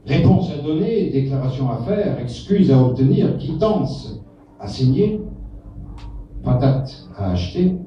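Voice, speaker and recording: A man giving a live spoken-word poetry recitation in short, deliberate, incantatory phrases. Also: medium pitch, very animated. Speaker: poetry reader. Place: indoor reading stage, echoey, distant from mic. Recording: echoey room, distant mic, muffled, faint noise.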